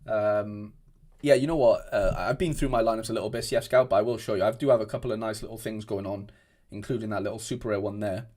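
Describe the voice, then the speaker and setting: Young man from Wales, lowish voice, loose rambling stream chat at a home desk mic. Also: fairly even intonation, fast, a little mellow. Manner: chatty, rambling, frequent restarts and fillers. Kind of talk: casual livestream chat reading viewer comments. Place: indoor home room at a desk.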